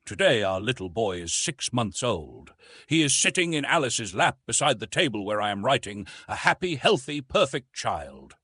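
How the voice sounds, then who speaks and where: A man's voice: medium pitch, very animated, normal speed, sharp tone, husky, dry recording, exaggerated voice. A man voicing a tender, sorrowful English nobleman's diary in an audiobook, formal and wistful. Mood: tender hope.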